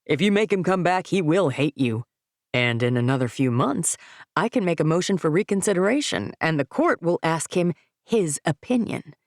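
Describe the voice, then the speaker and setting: A man's voice: fairly high pitch, animated, normal speed, no room sound, studio quality. A woman voicing a smug, wealthy man talking down to his ex-wife in a condescending, pushy way. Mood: smug, contemptuous. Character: smug wealthy adult man, scornful ex-husband.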